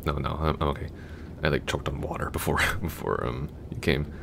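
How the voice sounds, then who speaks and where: A man's voice: fairly even intonation, brisk, a bit bright, a little hiss or hum, exaggerated voice. A young man playing a chatty, goofy, affectionate long-distance boyfriend in a roleplay audio, talking casually in a rambling, hesitant way. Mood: rambling, bashful. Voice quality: very deep, gravelly.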